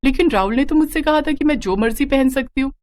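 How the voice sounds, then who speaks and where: A woman's voice: high-pitched, quick pace, a little mellow, slight background noise, voice pushed up, lightly acted. A woman voicing a modern, outspoken young daughter-in-law in a story, pert and protesting about old rules.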